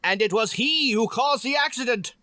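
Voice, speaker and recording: Man playing a high, pompous opera manager stallion. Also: lively intonation, moderate pace, bright, forceful tone, no echo, studio quality, heavily acted. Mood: outraged. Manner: formal, haughty, theatrical. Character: pompous, indignant male pony opera manager. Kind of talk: audio drama acting.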